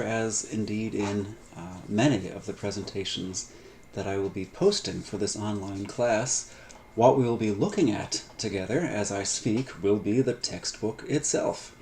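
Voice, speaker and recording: A middle-aged man giving a recorded online lecture on biblical Greek, measured and full of "uh" fillers, stretching out key terms. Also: on the low side, darkish tone, a little hiss or hum. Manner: measured, frequent "uh" fillers, drawn-out emphasis on terms. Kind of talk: recorded online lecture over textbook pages.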